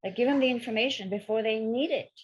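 A woman's voice: on the high side, quick pace, dull audio, slight background noise. A middle-aged woman from France, raised bilingual, telling her story as a therapist guest in a frank, matter-of-fact way. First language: French and English, bilingual. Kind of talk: storytelling interview answers.

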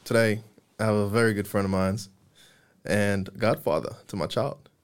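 A young man hosting a casual podcast interview, relaxed and friendly, swearing now and then. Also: lowish voice, normal speed. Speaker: podcast host.

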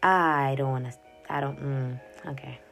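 Low, gravelly-voiced woman rambling. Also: measured pace, fairly bright tone. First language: Swahili. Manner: rambling, self-interrupting, with frequent laughs and "yada yada". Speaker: podcast host. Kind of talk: casual journal-reading podcast monologue. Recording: faint music behind the voice.

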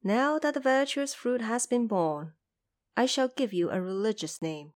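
A woman playing a compassionate female Bodhisattva in an audio drama, speaking in a measured, formal, instructive way. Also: a little high, natural voice. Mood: pleased. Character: female Bodhisattva, serene, compassionate, gently stern.

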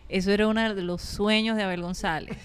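A woman hosting a radio panel, chatty and lively, steering the talk between guests. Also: medium pitch, brisk, smooth. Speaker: radio host.

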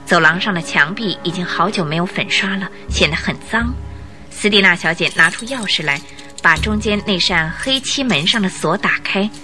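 A woman giving expressive, suspenseful scripted narration for a detective radio drama. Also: fairly low pitch, normal speed, faint music behind.

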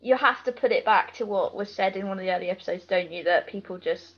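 A woman's voice: medium pitch, fast. A British woman co-hosting a podcast in informal, colloquial casual chat, on a slightly dull recording. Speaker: podcast co-host.

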